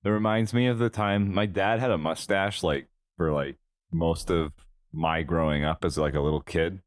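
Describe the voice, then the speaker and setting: A man's voice: sits low, steady tone, moderate pace, no room sound, clean studio sound. A man co-hosting a podcast, bantering in a jokey, rambling way with frequent restarts. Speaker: podcast co-host. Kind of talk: casual banter.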